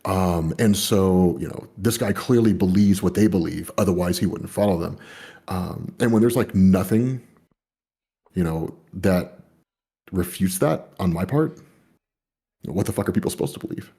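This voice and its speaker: A man's voice: low-pitched, moderate pace, dark tone. A man in casual conversation, rambling with lots of fillers and the odd swear. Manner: rambling, many fillers and "you know".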